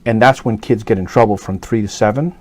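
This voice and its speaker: A man's voice: on the low side, brisk, a little mellow. A middle-aged man from New York City talking casually as an interview guest, rambling with many fillers and restarts. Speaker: guest actor.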